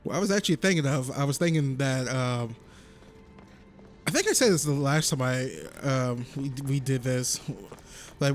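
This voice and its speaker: A man's voice: medium pitch, slight background noise. Chatty gaming YouTuber man. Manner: chatty, rambling, self-correcting. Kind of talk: casual gameplay commentary.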